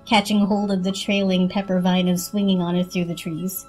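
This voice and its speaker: A woman narrating a children's story as an expressive dramatic reading. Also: fairly low pitch, moderate pace, faint music behind, low-quality recording.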